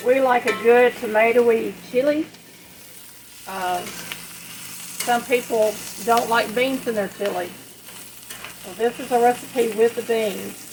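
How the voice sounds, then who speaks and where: A woman chatting casually and in a folksy, unhurried way as she demonstrates home cooking. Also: on the high side, low-quality recording. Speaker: home cook hosting a recipe video. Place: home kitchen at the stove. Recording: clear background kitchen noise, frying sizzle. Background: sizzling skillet, stirring.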